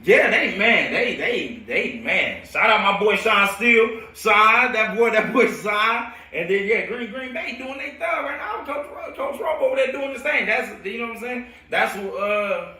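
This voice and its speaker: Bright, higher-pitched American man, echoey and distant. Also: measured pace, few pauses. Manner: animated, slangy, laughs often. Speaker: football coach hosting a storytelling show. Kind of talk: casual, rambling storytelling on a live stream. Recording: roomy echo, distant from the mic.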